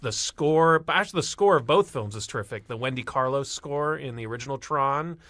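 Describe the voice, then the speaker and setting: A man's voice: medium pitch, fairly quick, fairly bright tone, no room sound. A man giving enthusiastic, explanatory commentary in a casual panel chat about films. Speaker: podcast panelist.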